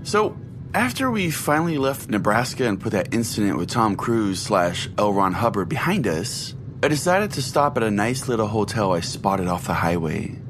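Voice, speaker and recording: A man's voice: on the low side, very animated, normal speed, background noise. A man hosting a podcast, telling a scripted comic story in a mock-solemn, profane way. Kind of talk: comic first-person scripted narration.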